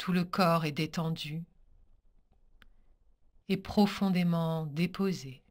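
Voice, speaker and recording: Very slow, low-voiced woman guiding relaxation. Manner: calm, soothing, measured instructions with pauses. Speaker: yoga nidra teacher guiding a practice. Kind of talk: guided relaxation meditation.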